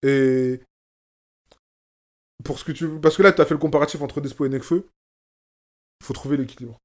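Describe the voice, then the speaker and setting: A man's voice: fairly high pitch, steady tone, normal speed, darkish tone. A man from France, a rapper, talking in a casual conversation and stressing points by repeating phrases. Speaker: rapper, guest. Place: lives in Oslo.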